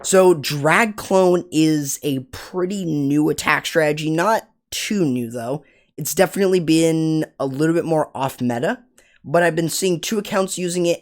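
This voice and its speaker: A man with a raspy voice hosting a casual game strategy guide, explaining attacks step by step and correcting himself as he goes. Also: on the high side, moderate pace. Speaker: gaming channel host.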